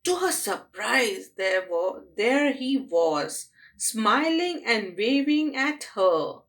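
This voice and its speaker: A woman teacher reading a story aloud to young children in deliberate, expressive phrases. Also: a little high. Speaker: storytelling teacher at a day care.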